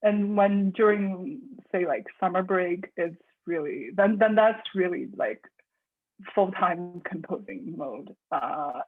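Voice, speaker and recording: A young woman from China, a composer, chatting in an interview with many restarts and soft laughs. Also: fairly low pitch, lively intonation, slow pace. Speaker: composer guest. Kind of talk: casual interview conversation. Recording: husky voice over tinny phone-like line.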